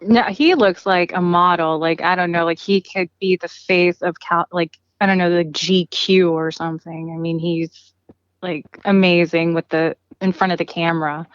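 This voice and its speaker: A woman's voice: lowish voice, steady tone, normal speed, clear, resonant tone, dry recording. Woman, 30s, chatty, lots of "like", dry sound, dull audio. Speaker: guest, friend of the boxer. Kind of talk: casual interview.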